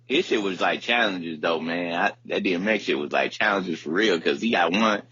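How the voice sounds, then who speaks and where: A man with a deep voice and a slightly rough edge talks casually as a podcast co-host. His speech is slangy and profane, and he often repeats phrases as he works out a point. The recording is a little dull and lo-fi. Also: normal speed, bright, forceful tone, no room sound. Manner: repeats and circles back on phrases. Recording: dull, lo-fi audio.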